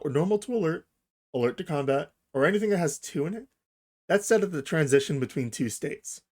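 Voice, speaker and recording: A man narrating a casual software tutorial, explaining things informally, step by step, as its host. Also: mid-range voice.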